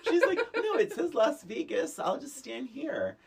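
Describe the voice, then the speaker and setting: A woman's voice: sits high, huge pitch swings, unhurried, vocal fry, slightly rough. A middle-aged woman co-hosting a podcast in casual, comedic movie chat, speaking emphatically and often repeating words for effect. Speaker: podcast co-host.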